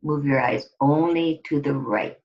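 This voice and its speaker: Slow, low-voiced woman guiding relaxation. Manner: deliberate, instructive, with pauses between short phrases. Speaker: guided relaxation instructor. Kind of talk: guided relaxation exercise, scripted instruction. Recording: dull lo-fi recording.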